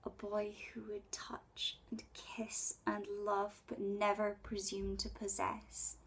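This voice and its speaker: A woman in her twenties performing a spoken word poem in a breathy voice, with intimate, deliberate dramatic phrasing. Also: mid-range voice, completely flat delivery, fairly bright tone. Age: 20s. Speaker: poet. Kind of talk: spoken word poetry recital.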